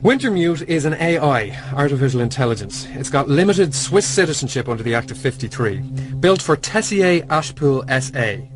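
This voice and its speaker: An actor playing a secretive, knowing underworld informant in a radio drama. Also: male voice, medium pitch, animated, normal speed, close to an everyday voice. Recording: music behind the voice.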